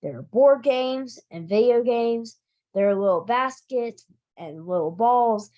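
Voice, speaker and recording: A woman reading a children's story aloud in an animated, expressive storytime style, now and then stumbling over a word. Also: on the high side, a little slow, muffled recording. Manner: expressive, emphatic, occasionally stumbling over words. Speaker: library director reading a picture book aloud.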